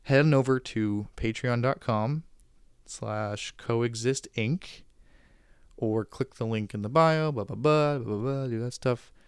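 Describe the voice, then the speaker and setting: A man's voice: medium pitch, a little slow, smooth, no room sound, studio quality. A man hosting a podcast, giving casual, sarcastic commentary with joking asides. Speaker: podcast host.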